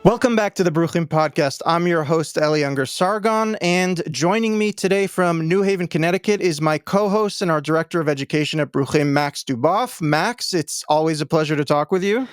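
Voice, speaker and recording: A man's voice: fairly high pitch, fairly quick, few pauses, clear, resonant tone, dry recording, studio quality, faint music behind. A man hosting an interview podcast, giving polite, formal introductions to his guests. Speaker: podcast host.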